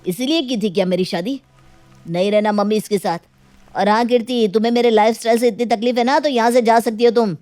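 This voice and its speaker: A woman's voice: fairly high pitch, steady tone, fast, darkish tone, soft background music, close to an everyday voice. A woman voicing a selfish, irritable young husband with a brusque, dismissive way of talking in a story. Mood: angry, defiant.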